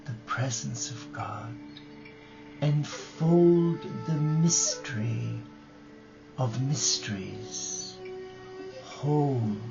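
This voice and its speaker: A man leading a guided meditation and prayer as a religious brother, speaking gently and reverently in short phrases with long pauses. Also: medium pitch, gravelly. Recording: dull lo-fi live recording with music.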